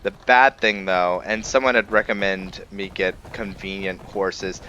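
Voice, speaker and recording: A man giving casual, unscripted gameplay commentary, musing and haltingly thinking aloud. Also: deep voice, fairly even intonation, bright, forceful tone, highs cut off, a little hiss or hum. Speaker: gamer hosting a let's play.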